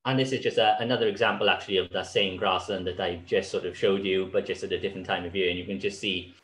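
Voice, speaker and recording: Man, thirties, low voice, slightly hoarse, loose filler-heavy talk, echoey room on a video call. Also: fast. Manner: relaxed, loose delivery with many fillers. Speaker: conservation speaker. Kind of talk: online conference talk with slides. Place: small room at home.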